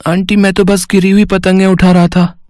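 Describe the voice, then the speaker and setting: A man's voice: sits high, flat delivery, moderate pace, darkish tone, dry recording, studio quality, lightly acted. A man voicing a timid, innocent poor young boy, meek and pleading, in a children's moral story.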